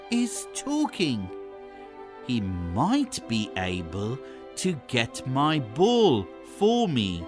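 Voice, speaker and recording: Spoiled young fairy-tale princess, performed by a storyteller, tearful and haughty, very slow, dramatic delivery. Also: medium pitch.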